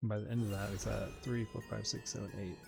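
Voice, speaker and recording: Male gameplay host, low voice, easygoing think-aloud commentary over faint music. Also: steady tone, fast talker, gravelly. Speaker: host of a game playthrough channel. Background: game music behind the voice.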